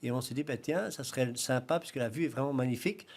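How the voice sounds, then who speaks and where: Belgian man explaining calmly in studio. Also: medium pitch, quick pace. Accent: Belgian French. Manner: relaxed and explanatory, with frequent "ben" and "alors". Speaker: guest, founder of a self-build training project. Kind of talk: studio interview answers.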